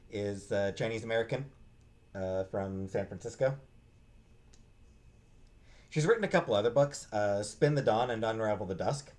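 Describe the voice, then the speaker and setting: A man giving a casual, unscripted book talk in a halting way, searching for his words. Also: lowish voice, a little mellow. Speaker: book reviewer, host.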